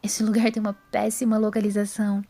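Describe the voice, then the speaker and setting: A woman acts out a prim young lady in an audio story. The delivery is polite and matter-of-fact, with self-assurance. The tone is mellow and slightly husky. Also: medium pitch, monotone, a little fast, lightly acted. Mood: surprised, matter-of-fact. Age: young adult. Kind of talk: audio drama character voice.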